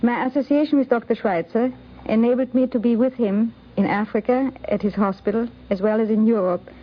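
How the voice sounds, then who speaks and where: Woman, anecdotal reminiscence, medium pitch, dull 1950s radio recording. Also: a little fast. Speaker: interviewed photographer recounting memories.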